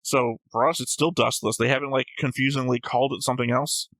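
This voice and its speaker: Casting: male co-host for casual anime talk, bright forceful voice, dry close sound, rambling and argumentative. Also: medium pitch, steady tone, quick pace, no room sound. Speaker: reaction show co-host.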